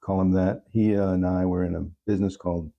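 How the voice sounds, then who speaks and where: A man being interviewed as a guest, talking in a halting, thoughtful and earnest way. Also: deep voice, flat delivery, muffled recording. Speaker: podcast guest, funeral officiant. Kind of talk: reflective interview conversation.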